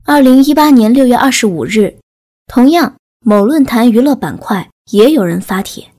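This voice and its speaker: A woman's voice: a little high, lively intonation, normal speed, a little mellow. A woman reading scripted audiobook narration of a novel.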